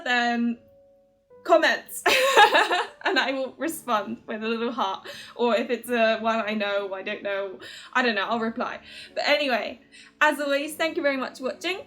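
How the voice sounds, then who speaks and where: A woman with a fairly high voice talks casually to her viewers as the host of a fan video. Her manner is giggly and enthusiastic. She rambles informally and is quick to make fun of herself. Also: a bit bright. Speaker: video host, otome game reviewer. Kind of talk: casual chatty commentary to viewers.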